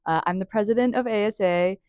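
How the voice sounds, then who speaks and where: A woman's voice: mid-range voice, fast talker, darkish tone, clean tone, no room sound. A twenty-year-old woman answering interview questions casually, starting with many hesitant fillers. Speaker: contestant.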